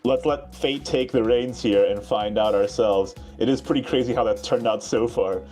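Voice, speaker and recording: A man co-hosting a live esports bracket draw, playful and quick to laugh as he builds suspense. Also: mid-range voice, warm tone, faint music behind. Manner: playful, laughs often, teasing. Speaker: esports co-host.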